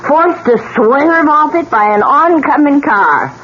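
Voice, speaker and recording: Slow, ominous old widow, dramatic swings. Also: female voice, high voice, lively intonation. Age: older. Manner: dramatic, slow, foreboding delivery. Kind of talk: 1970s radio drama acting. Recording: dull, noisy old radio broadcast.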